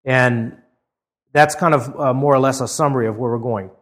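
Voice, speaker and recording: A man's voice: mid-range voice, fairly even intonation, moderate pace, a bit bright. A middle-aged man from Hawaii, preaching a sermon. He reads scripture aloud with rising emphasis, then talks conversationally with some fillers. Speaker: pastor. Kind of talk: expository sermon.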